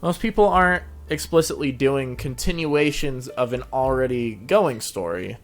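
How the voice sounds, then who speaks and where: A man's voice: medium pitch, moderate pace. A man hosting a casual interview, chatting in an agreeable, informal way. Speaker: show host and interviewer. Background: background music.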